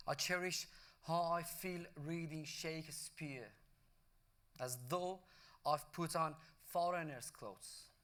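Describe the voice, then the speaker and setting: A man recites poetry slowly at a concert, his phrases lingering and dropping softly at the end of each line. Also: fairly high pitch. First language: Persian. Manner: measured, lingering phrases, soft drop at line ends. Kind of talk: slow spoken-word poetry recital over music. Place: concert hall. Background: instrumental music.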